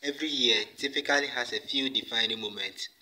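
A man's voice: medium pitch, a little fast, pushed and bright, poor audio. A young man from Ghana giving a formal, speech-like recorded message indoors. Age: young adult.

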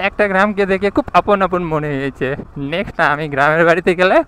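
A man's voice: high-pitched, moderate pace, pushed and bright, slight background noise. A young man, narrating a casual motovlog while riding outdoors, thinking aloud and asking people for directions. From India. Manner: chatty, thinks aloud, asks passersby for directions. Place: outdoors on village roads, riding a motorbike. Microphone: action camera mic.

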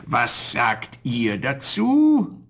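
A very old forest dweller in a fairy-tale radio play. He is gentle and wistful, drawing out his words very slowly in a chanting, lively melody. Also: medium pitch, husky, heavily acted. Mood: solemn, questioning. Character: very old solitary man, gentle, wistful forest-house owner.

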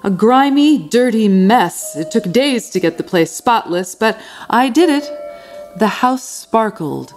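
An actress playing a devoted, sternly fussy housekeeper obsessed with cleanliness, speaking in a prim, formal, intense manner in a radio drama. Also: female voice, fairly high pitch, lively intonation, a little slow, a little mellow, soft background music. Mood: fastidious, uneasy. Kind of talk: theatrical radio drama monologue and dialogue.

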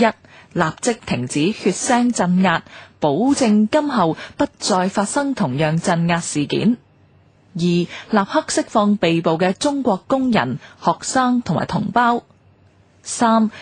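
Low, expressive Cantonese woman narrator. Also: husky, dry recording. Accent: Hong Kong Cantonese. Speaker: radio presenter and narrator. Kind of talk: scripted documentary narration.

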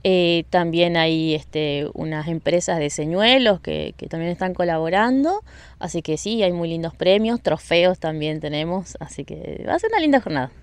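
A woman, her voice sitting on the low side, is interviewed outdoors on a riverside beach. She speaks friendly and unhurried into a handheld mic, with many fillers and restarts. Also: expressive, clear, resonant tone, no echo. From Argentina. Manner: friendly, with frequent fillers and repeated words. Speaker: event organizer. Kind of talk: street interview. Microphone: handheld mic held by interviewer.

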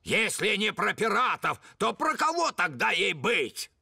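Cartoon dad storyteller: highish creaky voice, dramatic, drawn-out, over music. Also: male voice, big pitch swings, pushed and bright, dry recording, clean studio sound, exaggerated voice. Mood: conceding. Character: hearty father reading a swashbuckling bedtime tale. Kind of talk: theatrical storytelling in cartoon dub.

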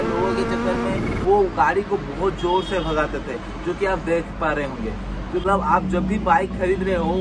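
A man's voice: high voice, noisy background. A young man in his twenties talking earnestly to a phone camera outside a house, gesturing as he speaks. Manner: earnest, heartfelt, gestures a lot. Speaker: moto vlogger. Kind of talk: casual vlog talk to camera. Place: outdoors by a house doorway.

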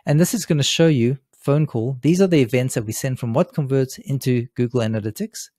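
A man presenting a software tutorial in a casual, unscripted way, explaining step by step as he works at his computer. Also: medium pitch, fairly quick, no room sound. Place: indoors, seated at a computer.

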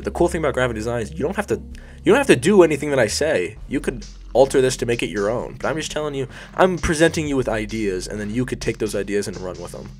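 Young guy host, lowish voice, sniffly, rambling self-deprecating voice-over tutorial with faint music behind. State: sniffly from allergies. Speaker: YouTube design tutorial host. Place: hot bedroom.

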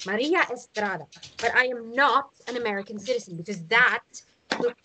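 A woman's voice: on the high side, fairly quick, sharp tone, slight background noise, low-quality recording. An actress playing a stern woman captive who takes command like a military leader, giving firm, controlled orders in a drama read-through. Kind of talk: script read-through acting.

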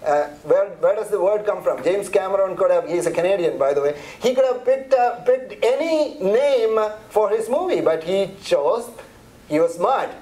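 Middle-aged Indian-accented man, fairly high voice, relaxed seminar lecturer who talks in a chatty way and restarts often. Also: normal speed. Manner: chatty, conversational, many restarts and fillers. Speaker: seminar presenter.

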